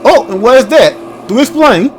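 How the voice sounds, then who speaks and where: A man's voice: extremely high pitch, animated, normal speed, noisy background. A man hosting a game playthrough, commenting casually and reading text aloud, informal and prone to stumbling over words. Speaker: gaming video host.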